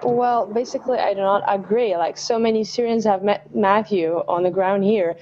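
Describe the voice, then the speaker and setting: A woman's voice: mid-range voice, steady tone, moderate pace, darkish tone, lo-fi sound. A young woman, a student guest, speaking plainly with some hesitation. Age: young adult. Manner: plain-spoken, hesitant with fillers. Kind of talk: casual interview answers.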